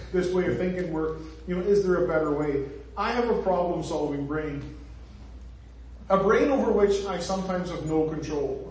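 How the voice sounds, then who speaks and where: Man, Northern Irish accent, casual joking sermon, slightly high voice, very echoey hall, faint room noise. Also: darkish tone. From Northern Ireland. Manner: chatty and joking, with asides to the congregation. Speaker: preacher. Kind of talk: conversational sermon. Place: church hall. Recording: echoey hall, far from the mic.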